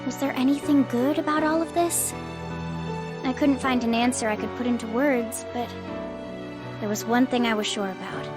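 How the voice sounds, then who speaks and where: A woman acts out an earnest young anime-game heroine. Her voice sits very high, sincere and soft-hearted, with some hesitation. Music plays behind her. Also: lightly acted. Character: earnest, kindhearted young artificially created girl.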